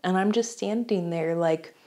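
A young woman from the United States chats to her camera in a home room, her voice sitting a little lower than most women's. She sounds slightly congested from a cold, and her casual storytelling is animated and full of laughs, picked up close on a lapel mic. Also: unhurried. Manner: chatty, animated, laughs often, gestures with her hands. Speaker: YouTuber. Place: small home room indoors.

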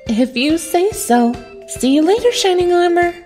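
A woman voicing a gentle princess mare and doting mother with a sweet manner. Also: extremely high pitch, expressive, moderate pace, faint music behind, voice pushed down.

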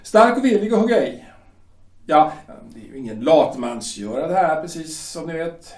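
A man's voice: on the high side, big pitch swings, darkish tone, reverberant, distant mic. A man playing a pompous shipyard director. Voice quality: hesitant, drawn-out, insinuating. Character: pompous male shipyard director, ingratiatingly menacing. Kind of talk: audio drama acting.

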